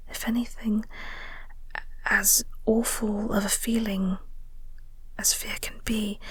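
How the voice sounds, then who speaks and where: A woman playing a flirty, caring coworker in an intimate audio roleplay, speaking softly and gently in a breathy, reassuring way. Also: fairly high pitch, no room sound, heavily acted. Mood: comforting. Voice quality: soft, breathy, whispery.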